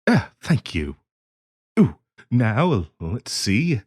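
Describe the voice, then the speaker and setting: A man's voice: on the low side, big pitch swings, drawn-out words, darkish tone, slightly rough, full character voice. A man playing a polite, hesitant half-dragon diplomat in a fantasy roleplay audio, genteel and eager to please as he hosts the listener. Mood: delighted, bashful. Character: polite, flustered half-dragon diplomat hosting tea in his cave.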